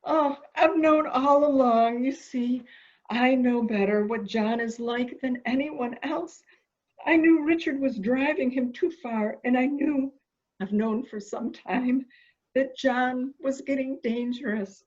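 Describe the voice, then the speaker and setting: A woman's voice: high-pitched, takes it slowly. A woman playing a coaxing, anxious character who humors a madman in a theatrical murder mystery drama, recorded with muffled sound. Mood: distressed. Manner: soft questioning, flattering, theatrical. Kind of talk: amateur murder mystery audio drama acting.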